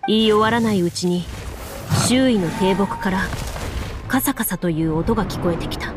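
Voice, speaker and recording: A woman voicing a composed game master, narrating a grim fantasy tale in a measured, solemn way. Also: mid-range voice, loud music behind the voice, lightly acted. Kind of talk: scripted tabletop story narration.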